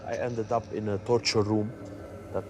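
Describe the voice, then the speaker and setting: Earnest low-voiced man, music behind. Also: flat delivery, moderate pace. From Lebanon. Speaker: interviewee. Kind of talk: interview answers.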